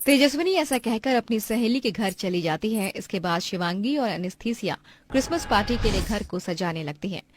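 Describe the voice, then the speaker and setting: A woman's voice: mid-range voice, expressive, normal speed, no room sound. A woman giving scripted narration for a cartoon family story.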